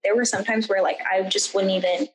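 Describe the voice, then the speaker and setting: A woman's voice: medium pitch, a little fast. Young woman in an echoey room. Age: young adult. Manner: informal, animated retelling with quoted asides and frequent "like". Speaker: student interviewee. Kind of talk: candid personal storytelling in an interview. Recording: roomy, echoey sound.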